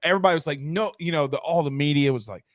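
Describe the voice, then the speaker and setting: A man hosting a sports talk show, chatting and asking questions in an informal, animated fan's way. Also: fairly high pitch, rapid-fire, no room sound, clean studio sound. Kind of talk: casual sports banter and questions.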